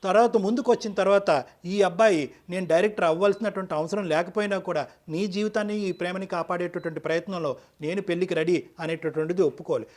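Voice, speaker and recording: Fast, high-pitched man giving counsel. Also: a little mellow. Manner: firm, didactic, mixes English phrases into speech. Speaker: counselor on a relationship advice show. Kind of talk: advice-giving counseling talk.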